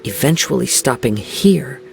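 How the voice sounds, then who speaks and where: A man voicing a calm, perceptive young wandering swordsman in a video game, speaking in a measured, formal, contemplative way. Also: mid-range voice. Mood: calm, focused. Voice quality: creaky. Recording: faint music behind the voice.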